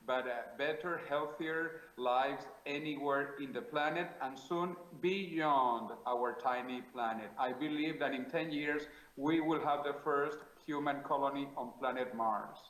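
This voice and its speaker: A man with a Spanish accent, speaking English as a second language, answers interview questions in a measured, declarative way with plenty of small pauses. His voice sits at a middle pitch and carries a noticeable roomy echo, as if recorded over a call in a bare room. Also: fairly bright tone. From South America. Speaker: guest, futurist.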